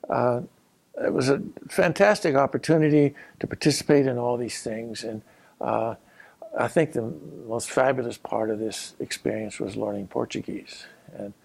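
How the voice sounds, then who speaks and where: Older man with a gravelly, husky voice. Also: on the low side, a little mellow. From Colorado, USA. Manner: unhurried, halting, with frequent pauses and "uh" fillers. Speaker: former Peace Corps volunteer and geography professor. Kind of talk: reminiscing personal story.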